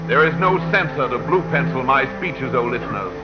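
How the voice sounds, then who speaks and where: Male actor as solemn 1940s radio-drama orator, poetic and declamatory, mid-pitched, old lo-fi sound with music behind. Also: lively intonation, brisk, close to an everyday voice. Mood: grave, commanding.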